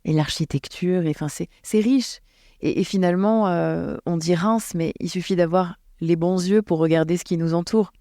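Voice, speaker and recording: A woman's voice: fairly low pitch, moderate pace, dark tone, dry recording, clean studio sound. A woman guest talking thoughtfully in a casual podcast conversation, restarting her sentences often. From France. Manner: thoughtful, hesitant with many restarts.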